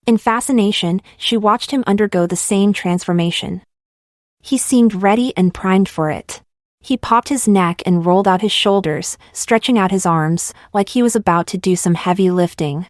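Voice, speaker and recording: A woman's voice: medium pitch, measured pace, no room sound, clean studio sound. A woman narrating fiction in a scripted, dramatic audiobook style.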